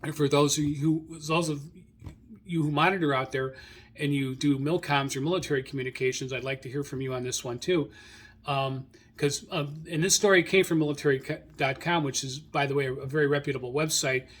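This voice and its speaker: A middle-aged or older American man hosting an informal radio hobby monologue, rambling haltingly and repeating phrases. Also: a little high, steady tone, fairly bright tone. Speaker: radio hobby show host.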